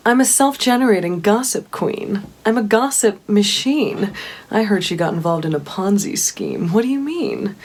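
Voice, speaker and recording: A woman's voice: medium pitch, lively intonation, moderate pace, natural voice. A woman playing a brazen, scandal-loving gossip queen in a comic monologue, declaiming with dramatic emphasis. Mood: scandalized relish.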